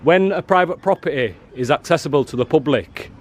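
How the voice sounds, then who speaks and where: A man filming outdoors outside a prison, loudly challenging staff in a combative, argumentative way. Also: a little high, animated, brisk. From England. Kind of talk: confrontational street filming. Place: outdoors by a prison car park.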